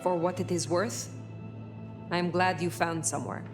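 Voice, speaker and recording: A woman's voice: lowish voice, fairly even intonation, close to an everyday voice. Formal, reserved vampire elder played by a woman, music behind. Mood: measured, purposeful. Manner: formal, measured wording.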